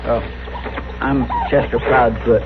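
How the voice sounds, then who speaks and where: An actor playing a good-natured cowboy deputy sidekick in a radio Western, with a rural Western American drawl and a folksy, rambling, deferential way of talking. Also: male voice, medium pitch, fairly even intonation, slow pace, natural voice. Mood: polite, chatty. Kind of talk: 1960s radio Western acting. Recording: dull, very noisy vintage radio recording.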